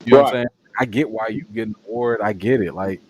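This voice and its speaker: A man's voice: fast, slight background noise, poor audio. A man, a movie reviewer as interview guest, talking in a casual, passionate way. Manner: animated, passionate, jumps up in pitch for quoted voices. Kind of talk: casual conversation.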